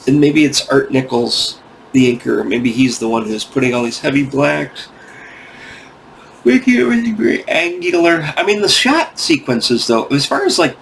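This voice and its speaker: A man from the United States hosting a comic book video, rambling casually, tired and going off on tangents, with some gravel in his voice. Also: medium pitch, lively intonation, moderate pace, a bit bright, slight background noise. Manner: meandering, restarts often, goes off on tangents. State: tired, yawning.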